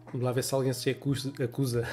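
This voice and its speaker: A man's voice: medium pitch, flat delivery, fast talker, warm tone, soft background music. Chatty Portuguese man with a cold. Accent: European Portuguese. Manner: chatty, laughs often, greets viewers by name. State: has a cold. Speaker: photography livestream host. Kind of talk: casual livestream chat reading viewer comments. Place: small home room with desk lamp.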